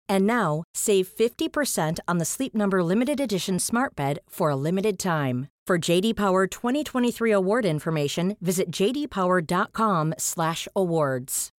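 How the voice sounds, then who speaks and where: A woman's voice: on the low side, dry recording, studio quality. A woman reading a scripted advertisement. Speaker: ad announcer. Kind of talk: scripted ad read.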